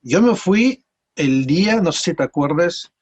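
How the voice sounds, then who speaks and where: A man from Mexico with a Mexican accent, telling stories casually as an interview guest in a rambling, halting way with repeated words. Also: on the high side, lively intonation, husky, muffled recording. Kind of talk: casual conversational storytelling.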